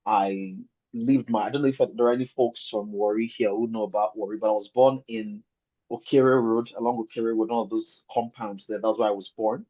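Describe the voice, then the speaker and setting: Husky Nigerian man, muffled call audio. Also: fairly low pitch, steady tone, moderate pace, warm tone. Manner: conversational, repeats and rephrases for emphasis. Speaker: webinar speaker. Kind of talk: informal presentation telling a personal story. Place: online video call with screen sharing.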